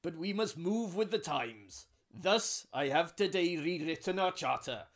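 A man playing a pompous Welsh trade union leader giving a grand, booming speech in a comedy sketch. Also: lively intonation, measured pace. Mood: grandiose. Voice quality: booming, grandiose rally oratory.

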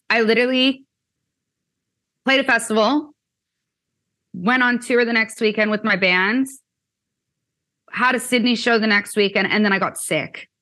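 A woman's voice: medium pitch, sharp tone. A young woman from Canada, a musician, chatting in an interview with lots of "like" and "um". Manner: chatty, many fillers like "like" and "um". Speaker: musician guest. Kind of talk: casual interview chat.

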